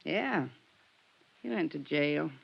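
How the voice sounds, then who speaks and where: An actress playing a blunt, folksy rooming-house manager, terse and suspicious, with a drawl, in a 1950s radio drama. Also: female voice, low-pitched, lively intonation, slow pace, creaky, breathy edge, exaggerated voice. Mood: wary, blunt. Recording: muffled vintage radio recording.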